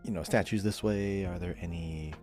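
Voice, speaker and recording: A man with a low voice chats casually as co-host of a gaming podcast. His talk is conversational, and faint music plays underneath. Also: fairly even intonation, moderate pace, darkish tone.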